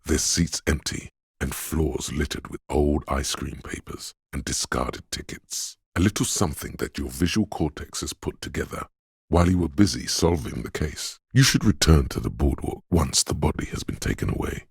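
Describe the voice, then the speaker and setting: Super deep husky video game inner voice, calm n observant, dry wit, narrates slow and deliberate. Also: male voice, no echo, heavily acted. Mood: wistful, evocative. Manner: measured, deliberate, dryly wry. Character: detective's own visual cortex, a calm observant inner voice. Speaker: game voice actor. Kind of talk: literary second-person game narration.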